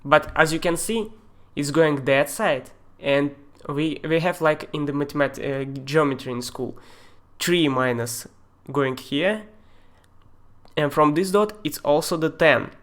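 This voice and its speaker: A man with a Russian accent and Russian as his first language, teaching a live-streamed screen tutorial in a halting way, with fillers and non-native grammar. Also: on the high side, a bit bright. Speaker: software tutor.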